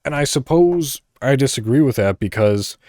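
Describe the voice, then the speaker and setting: A man's voice: medium pitch, expressive, moderate pace, a little mellow, no room sound, studio quality. A man hosting a podcast, reading articles aloud with casual commentary, occasionally stumbling over words and correcting himself. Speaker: podcast host.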